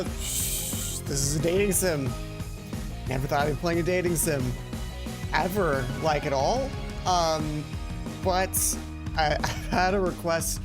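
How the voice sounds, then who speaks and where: Higher-pitched man doing let's play commentary over music. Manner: stumbles over read text, interjects casual asides. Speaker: gaming video host. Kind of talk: let's play commentary mixed with reading visual novel text aloud.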